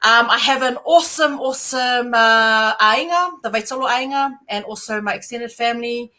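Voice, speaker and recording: A woman's voice: on the high side, moderate pace, pushed and bright. A woman, a podcast guest, talking in a playful, joking way. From New Zealand. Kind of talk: casual podcast chat.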